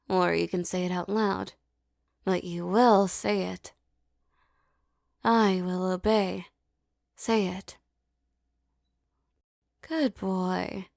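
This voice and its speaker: A woman performing a scripted hypnosis roleplay, speaking softly and commandingly with a teasing edge. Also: medium pitch, vocal fry, no room sound, studio quality. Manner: slow, soft, commanding and teasing.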